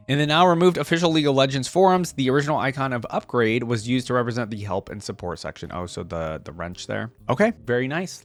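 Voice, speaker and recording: A man giving casual, conversational reaction commentary, sometimes reading aloud. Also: mid-range voice. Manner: conversational, informal. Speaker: reaction video host.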